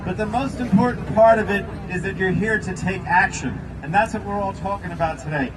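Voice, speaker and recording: A man with a strikingly high voice delivers an impassioned political speech in a park. He leans hard on repeated phrases for emphasis. The phone recording is distant, with clear crowd and background noise around him. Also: fairly quick. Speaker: politician addressing a rally. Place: outdoors in a park among a crowd. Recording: far-off phone recording, noisy outdoor crowd.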